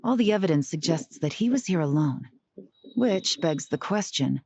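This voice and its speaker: A woman playing a composed, analytical investigator in an anime video game, measured and thoughtful in delivery. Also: low-pitched, expressive, darkish tone, a little hiss or hum. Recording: muffled game audio under music.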